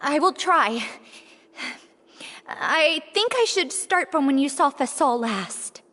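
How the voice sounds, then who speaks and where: A woman's voice: sits high, animated, slow pace, fairly bright tone, long reverb tail, heavily acted. An actress voicing a frightened young woman who pleads anxiously and apologizes.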